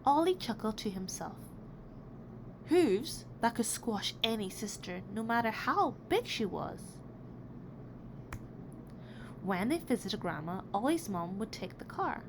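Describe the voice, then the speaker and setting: Noisy recording, woman, mid-pitched voice, children's read-aloud narration, expressive, deliberate emphasis. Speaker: picture book reader. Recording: clearly noisy background.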